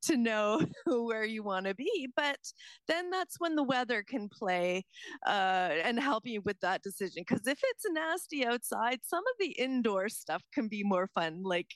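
A woman's voice: on the high side, expressive, a little slow, no room sound. A woman chatting casually on air as a community reporter.

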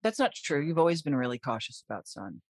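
A woman in her forties chatting casually with a friend and co-worker, telling stories in an animated way. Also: low voice, fast talker, no room sound, studio quality. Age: 40s. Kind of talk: casual chat.

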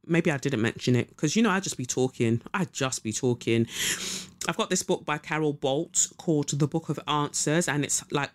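Chatty, rambling woman podcaster. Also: low voice. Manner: chatty, rambling, swears casually, trails off with ums. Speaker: podcast host. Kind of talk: casual spiritual podcast chat.

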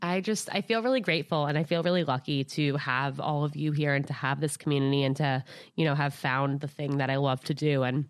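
A woman's voice: low-pitched, steady tone, a little fast, clear, resonant tone, dry recording, clean studio sound. A woman in her late twenties, possibly, hosting a podcast in a casual, upbeat way with many fillers. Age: 20s. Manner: chatty, upbeat, lots of fillers like "like" and "you know". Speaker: podcast host. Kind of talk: casual solo podcast intro.

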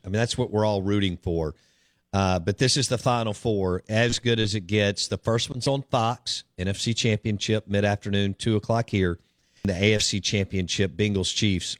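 A man's voice: lowish voice, a bit bright, dry recording, studio quality. An American man hosting a sports radio show, interviewing a guest in a casual conversational style. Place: radio studio.

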